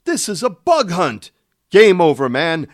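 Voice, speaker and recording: Comic radio drama role: panicky doomsayer played by an actor, extremely animated pitch, drawn-out delivery. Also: no echo, clean studio sound. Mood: panicked.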